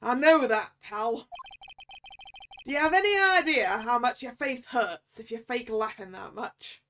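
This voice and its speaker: A woman voicing a gruff, chummy, easily flustered male detective with a rough London accent, reading out a video game. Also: a little high, expressive, unhurried, sharp tone, slight background noise. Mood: weary griping. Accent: London cockney, slipping towards Scottish. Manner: blustering, ends remarks with "pal".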